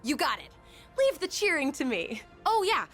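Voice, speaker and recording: An actress playing a peppy, encouraging teenage team manager in a video game, bubbly and enthusiastic. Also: female voice, high-pitched, wildly swooping pitch, moderate pace, a bit bright, faint music behind, heavily acted. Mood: upbeat.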